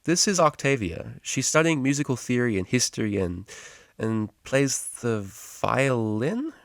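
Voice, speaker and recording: A man's voice: mid-range voice, very animated, unhurried, vocal fry, no room sound, clean studio sound, pitched above the performer's own voice. A man voicing a polite, uncertain female student pony in a storybook, speaking tentatively. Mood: hopeful.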